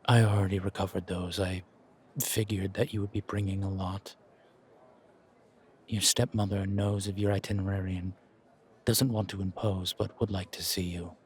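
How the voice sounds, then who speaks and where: A man playing a nervously polite, dutiful low-ranking driver in an audio roleplay, speaking hesitantly and with formal deference. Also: flat delivery, moderate pace, close to an everyday voice. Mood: polite, reserved.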